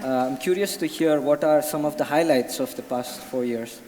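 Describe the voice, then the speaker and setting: Male event host, fairly high voice, upbeat, big hall reverb. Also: moderate pace, warm tone, a little hiss or hum. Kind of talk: stage moderating and interviewing.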